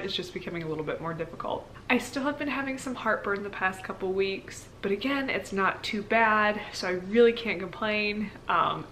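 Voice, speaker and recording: Young woman doing a chatty vlog update, informal and rambly, mid pitch, bit of noise behind her. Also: a bit bright, rough recording. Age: young adult. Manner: informal, rambling, candid, frequent "like". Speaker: pregnancy vlogger. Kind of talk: casual chat to viewers.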